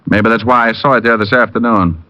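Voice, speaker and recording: An actor playing a wisecracking private detective who narrates a 1950s radio drama in a dry, deadpan way. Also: male voice, on the low side, a little fast, no room sound. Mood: wry. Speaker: narrator and lead. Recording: dull lo-fi old radio recording.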